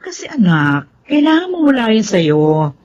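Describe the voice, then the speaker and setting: A woman's voice: on the high side, sing-song, measured pace, darkish tone. An actress playing a doting but firm mother in a radio drama. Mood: gentle, coaxing. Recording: dull, lo-fi radio sound.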